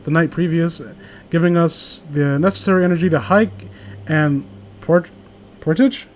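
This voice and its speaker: Man, higher voice, casual read-aloud host, sarcastic, sweary, cuts in with his own asides. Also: steady tone, a little slow, a little mellow, slight background noise. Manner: sarcastic, profane, interrupts own reading with asides. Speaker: host reading a horror story aloud with a friend.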